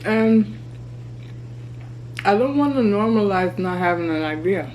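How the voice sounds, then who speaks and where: A woman in her thirties talking candidly to her vlog camera while she eats, chatty and relaxed. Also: medium pitch, measured pace, a little hiss or hum, poor audio. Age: 30s. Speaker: vlogger. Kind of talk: casual mukbang vlog chat. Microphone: camera mic.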